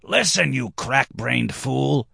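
A man's voice: mid-range voice, lively intonation, normal speed, creaky, fairly bright tone, no echo, clean studio sound, pitched above the performer's own voice. Man playing a boastful drunken nobleman, declaiming. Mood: angry, insistent. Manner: emphatic, declamatory. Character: drunken red-haired nobleman, boastful, impish, quick to anger.

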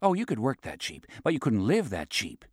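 A man's voice: mid-range voice, huge pitch swings, brisk, husky, no room sound, studio quality. A man reading a brash, greedy lawyer. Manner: blunt and needling, swears casually. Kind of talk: audiobook dialogue reading.

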